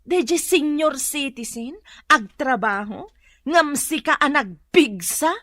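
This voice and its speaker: A woman's voice: high voice, lively intonation, slow, a bit bright, no echo, clean studio sound, heavily acted. An actress playing a nagging working woman who pays for everything at home, in a radio drama. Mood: scolding. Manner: nagging, scolding. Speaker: teacher.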